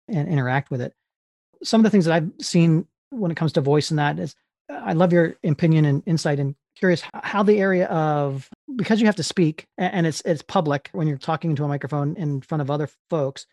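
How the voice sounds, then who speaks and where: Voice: medium pitch, a little mellow, no echo. An interview host asking long, meandering questions with restarts. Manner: rambling, searching questions with restarts. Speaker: podcast host.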